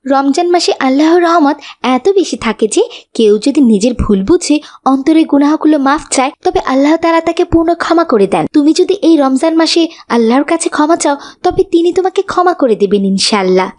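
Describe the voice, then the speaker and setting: A woman's voice: sits high, animated, fairly quick, a little mellow. A woman voicing a humble, devout young girl who advises earnestly in a cartoon. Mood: earnest concern. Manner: earnest, instructive.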